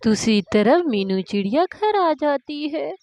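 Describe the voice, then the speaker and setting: A woman's voice: sits high, big pitch swings, a little slow, darkish tone, dry recording. A woman narrating a scripted children's cartoon story. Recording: music behind the voice.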